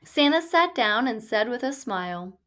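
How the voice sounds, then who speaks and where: A woman reading a rhyming children's story aloud in a measured, deliberate storytelling delivery. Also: on the high side, lively intonation, a bit bright. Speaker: storybook reader.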